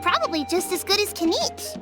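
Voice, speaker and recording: A proud, earnest young child in an anime video game. The voice is very high and lively in its inflection, frank and a little sulky. Music runs underneath. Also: female voice, fairly quick. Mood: curious. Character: stubborn, earnest young child with hurt pride.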